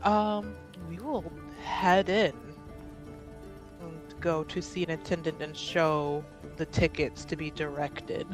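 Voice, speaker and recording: A woman's voice: deep voice, steady tone, takes it slowly, soft background music. A woman playing a tabletop roleplaying game, chatting and narrating casually in an informal, jokey way and often laughing.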